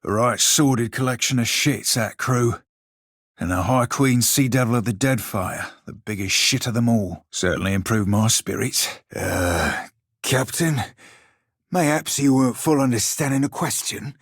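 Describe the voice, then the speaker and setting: A man voicing a roguish, irreverent pirate sailor in a fantasy video game, sardonic and bantering in archaic nautical slang, with a husky, gravelly voice. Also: medium pitch, normal speed, no room sound, studio quality, lightly acted. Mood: dry, amused. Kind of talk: video game voice lines, banter and fight barks.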